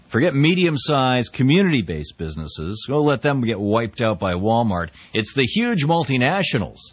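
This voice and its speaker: A man's voice: lowish voice, slightly rough, dry recording, highs cut off. A middle-aged man from the United States hosting a talk show, giving a conversational political commentary with frequent restarts and quoted readings. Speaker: talk radio host.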